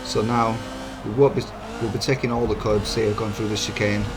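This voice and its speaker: Hoarse man narrating racing. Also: on the low side, level tone, normal speed. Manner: easygoing, chatty, apologetic. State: hoarse, croaky voice. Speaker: gaming channel host. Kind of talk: racing gameplay commentary. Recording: game engine noise behind. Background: racing car engine sounds.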